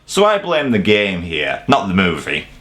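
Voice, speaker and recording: A man's voice: low voice, wildly swooping pitch, normal speed, very noisy. A man hosting a countdown review, delivering semi-scripted commentary in a jokey, casually sweary way. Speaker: video reviewer and host.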